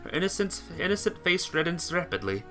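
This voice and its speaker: A man's voice: a little high, very animated, a little fast, pushed and bright, faint music behind. A man reading a visual novel aloud as amateur narration, sometimes stumbling and rereading phrases.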